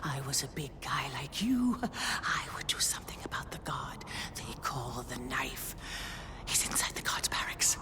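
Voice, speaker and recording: A woman's voice: extremely low pitch, sing-song, measured pace, heavily acted. A woman playing a weary, bitter prisoner in a video game, half-whispering in terse sentences. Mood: hushed, bitter. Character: weary, bitter prisoner urging a stranger to act. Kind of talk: video game cutscene acting.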